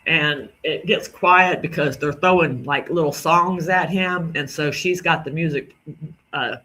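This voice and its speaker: A man's voice: fairly high pitch, unhurried, pushed and bright. A man from the Southern United States with a Southern drawl, rambling indignantly through casual commentary on clips and repeating himself. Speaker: commentary creator.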